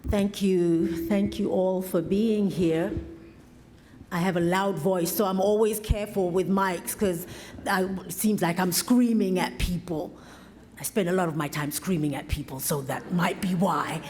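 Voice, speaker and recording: A woman priest from South Africa giving a reflective address, telling stories in a slow, thoughtful way. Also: on the low side, fairly even intonation, a little mellow, a little hiss or hum. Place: large hall. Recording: roomy hall reverb.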